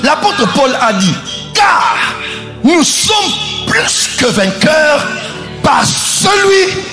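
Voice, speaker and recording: A man preaching in a sing-song way, shouting his key lines. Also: very high-pitched, sing-song, extremely slow. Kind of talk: sermon clip. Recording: loud background music.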